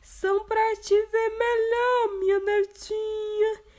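A woman's voice: very high voice, level tone, takes it slowly, darkish tone, pitched above the performer's own voice. A woman voicing a sly male wolf in a children's storybook reading, coaxing in a faked sweet voice. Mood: sweetly sly.

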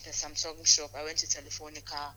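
A woman caller with a very bright, sharp-edged voice set a little low for a woman. She chats informally. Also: little pitch movement, quick pace, a little hiss or hum, rough recording. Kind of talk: casual chat. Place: on the other end of a phone call.

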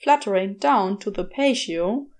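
A woman narrating a scripted story in a measured, expressive storytelling manner. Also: medium pitch. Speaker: podfic narrator.

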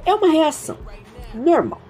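A woman's voice: high voice, huge pitch swings, moderate pace, warm tone, soft background music. A woman narrating an audio story informally, with chatty, reflective asides addressed to the listeners. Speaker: narrator and host.

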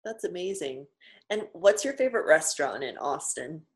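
A woman's voice: low-pitched, very animated, moderate pace. A woman interviewing a guest over a video call, reacting with eager wows and laughter. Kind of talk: casual interview.

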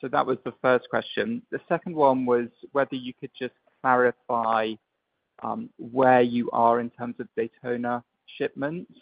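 Gravelly, even-toned male analyst on the phone. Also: mid-range voice, no room sound. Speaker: financial analyst. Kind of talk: polite prepared questions on an earnings call. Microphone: conference call line. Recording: dull, band-limited phone line.

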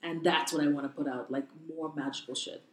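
A young woman from the Bahamas, a guest in a casual interview, talking in an animated, laughing way. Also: deep voice, fairly quick. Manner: animated, laughing, lively storytelling. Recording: roomy echo.

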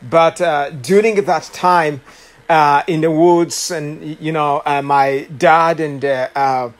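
A man in his late thirties from Rwanda, with a Rwandan accent, preaching as a guest speaker and telling his story with many pauses, fillers and restarts. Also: on the high side, steady tone. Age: late 30s. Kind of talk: sermon testimony.

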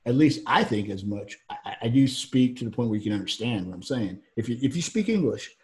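A man from Georgia with a faint Southern accent, co-hosting a casual chat in a rambling, animated way with many restarts. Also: mid-range voice, fairly quick.

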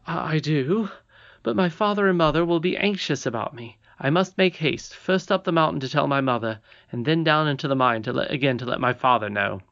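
Casting: storybook miner lad performed by an audiobook reader, courteous but sceptical, plain-spoken, middling pitch. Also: brisk, a bit bright, muffled recording, natural voice. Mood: doubtful, polite. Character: polite young miner boy, doubtful, plain-spoken.

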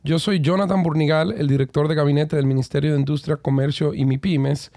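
A man hosting a podcast from a recording booth, upbeat and enthusiastic, often pausing on hesitation sounds as he introduces a guest. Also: fairly high pitch, steady tone, vocal fry, mellow, no room sound, studio quality. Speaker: podcast host.

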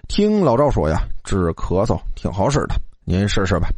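A man voicing a laid-back young male student, offhand and unhurried, in a dramatized story. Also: on the low side, huge pitch swings, darkish tone, no echo, voice pushed down. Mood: casually concerned.

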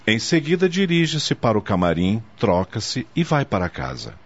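A man's voice: lowish voice, wildly swooping pitch, normal speed, dull audio. A man with a Brazilian accent narrating a scripted radio drama with dramatic storytelling delivery. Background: faint music.

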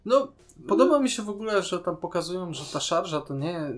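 A man's voice: high voice, sing-song, a little fast, soft background music. A man co-hosting a podcast, chatting casually and analytically as he critiques a series. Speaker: podcast co-host. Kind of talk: casual chat reviewing a TV series.

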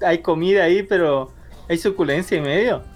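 A man's voice: a little high, fairly quick. Joking man in a casual anime chat. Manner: crude jokes, playful, hesitant restarts. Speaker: podcast co-host.

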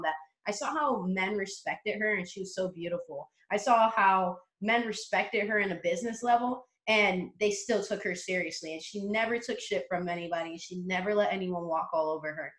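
A woman's voice: medium pitch, brisk, few pauses, fairly bright tone, reverberant, poor audio. A woman guest on a casual podcast interview, talking brashly and confidently and swearing freely. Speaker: interview guest, motivational coach.

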